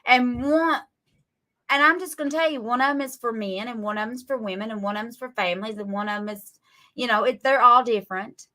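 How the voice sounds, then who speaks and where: A woman in her forties with a drawl, lecturing critics on a live stream. Her bright, forceful voice stays sarcastic and scolding. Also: a little high, brisk. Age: 40s. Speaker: livestream guest.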